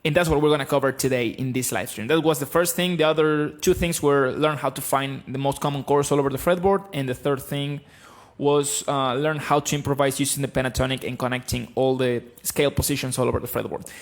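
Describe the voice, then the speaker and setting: Guitar teacher, thirties, Argentine-accented male, blunt and lively, close mic in a small home room. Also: medium pitch, fairly quick. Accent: Argentine Spanish. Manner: blunt, animated, explaining. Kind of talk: live-streamed guitar lesson. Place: small room with bookshelves, indoors. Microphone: studio mic on stand, close.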